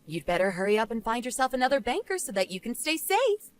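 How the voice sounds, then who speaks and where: A woman's voice: a little high, sing-song, quick pace. A woman playing a smug, vengeful wife and former bullying victim in scripted story dialogue, taunting and sarcastic. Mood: cool taunting.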